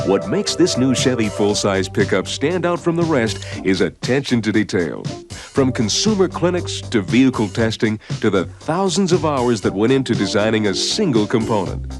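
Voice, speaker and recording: An American man narrates a promotional training film over prominent music. His medium-pitched voice moves in a lively way, with polished, deliberate emphasis.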